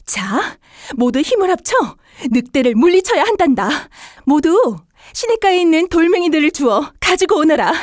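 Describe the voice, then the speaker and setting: A woman voicing a tender, protective mother goat in a children's fairy tale, speaking in a gentle, dramatic, motherly way. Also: high-pitched, big pitch swings, normal speed, no room sound, studio quality. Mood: hushed, resolute. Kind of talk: children's storybook voice acting.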